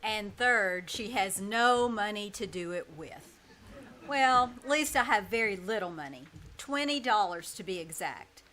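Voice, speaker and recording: A woman's voice: medium pitch, expressive, a little slow, pushed and bright. A woman playing a wry, long-suffering schoolteacher in a live radio comedy, with dry, sardonic delivery. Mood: wry narration. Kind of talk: live old-time radio comedy acting. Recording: live stage performance with background noise.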